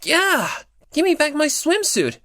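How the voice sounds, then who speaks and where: A woman voicing casual high school boys, teasing or awkward, in an audiobook. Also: big pitch swings, measured pace, sharp tone, no echo, studio quality, exaggerated voice. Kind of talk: audiobook character acting.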